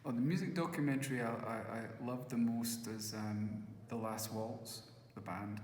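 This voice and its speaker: A man's voice: medium pitch, fairly even intonation, normal speed, darkish tone, a little hiss or hum. Glaswegian man with a rambling talk. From Scotland. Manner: rambling, with many restarts and acted quotes. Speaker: musician and first-time filmmaker. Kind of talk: casual interview answers. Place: festival venue. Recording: echoey hall, faint ambience.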